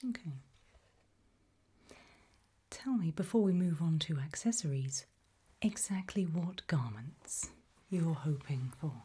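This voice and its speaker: A woman whispering softly in an ASMR roleplay as a tailor, asking questions in a polite, measured, formal manner. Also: low-pitched, animated. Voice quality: soft, breathy unvoiced whisper.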